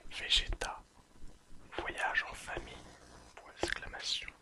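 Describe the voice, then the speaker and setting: An ASMR creator whispering softly and soothingly while reading a summary aloud in an unhurried way.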